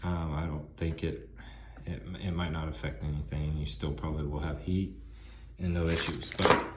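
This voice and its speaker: A man's voice: a bass voice, little pitch movement, moderate pace, a little hiss or hum. A man in his thirties, a bit stuffy from a head cold, talking through a truck repair in a casual, folksy how-to style, recorded on a phone in his home garage. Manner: chatty, folksy, loose grammar. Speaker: DIY auto repair host. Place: home garage, by an open door. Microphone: phone camera close by, at arm's length.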